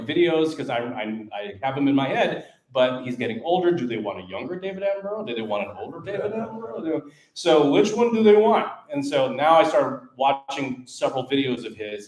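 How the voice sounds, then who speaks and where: A man speaking on a live panel as a voice actor, animated and demonstrative, often repeating words as he explains. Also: medium pitch. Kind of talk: panel talk. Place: event venue, live panel. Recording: echoey room.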